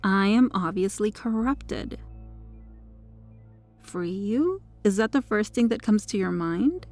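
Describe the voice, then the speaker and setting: Woman acting smug, creaky-voiced possessive superheroine. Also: mid-range voice, expressive, slow, a little mellow, soft background music. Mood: teasing condescension. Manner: teasing, condescending, seductive. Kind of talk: ASMR roleplay monologue addressed to the listener.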